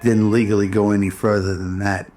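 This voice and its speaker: A man's voice: on the low side, flat delivery, brisk, darkish tone. A man narrating a scripted radio drama in a pulp noir storytelling style. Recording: music under the voice.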